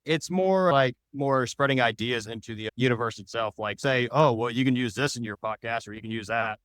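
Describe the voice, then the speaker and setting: A man's voice: medium pitch, a little fast, fairly bright tone, clear, resonant tone, no room sound. A man answering interview questions as a podcast guest, talking in loose, rambling explanations. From United States.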